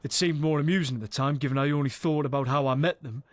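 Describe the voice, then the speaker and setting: An actor playing a boisterous, rough British adventurer in a fantasy game, telling tales in a salty, wry way. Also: male voice, a little high, fast talker, no room sound, lightly acted. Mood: reflective. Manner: salty, foul-mouthed, wry storyteller. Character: boisterous rough male adventurer spinning a monster tale. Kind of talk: in-character storytelling in a fantasy game.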